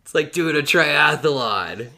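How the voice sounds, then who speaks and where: Guy doing a fan podcast, super lively intonation, jokey bro talk, kinda mock dramatic. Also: on the high side, slow pace, creaky. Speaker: podcast host. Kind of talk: playful fan podcast chat and recap.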